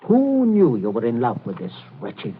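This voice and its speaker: A man's voice: medium pitch, huge pitch swings, normal speed, a little hiss or hum. An actor playing a stern, domineering middle-aged father, commanding and impatient, in a 1940s radio drama. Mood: stern, disgusted. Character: stern, domineering father coldly interrogating his daughter. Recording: tinny, band-limited 1940s radio broadcast.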